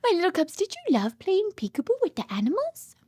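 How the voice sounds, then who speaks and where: A woman's voice: very high voice, wildly swooping pitch, a little fast, mellow. A woman reading aloud to babies and toddlers in an exaggerated child-directed way, with simple repeated phrases and questions. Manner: exaggerated child-directed delivery with dramatic gasps and whispers. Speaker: children's read-aloud host.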